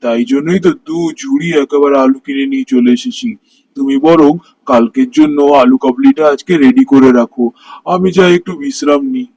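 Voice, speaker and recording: A man voicing a cheerful, greedy married street-food vendor in a cartoon story, with an expressive, exclaiming delivery. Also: mid-range voice, fairly quick, no room sound, natural voice, rough recording. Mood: contented. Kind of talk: Bengali cartoon story voice acting.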